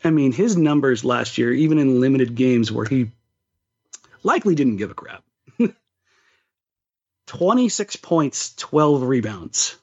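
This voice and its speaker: A man's voice: mid-range voice, expressive, slow pace, pauses often, darkish tone. A man co-hosting a sports podcast, chatting casually about basketball with slangy, emphatic phrasing. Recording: slightly dull, lo-fi voice recording.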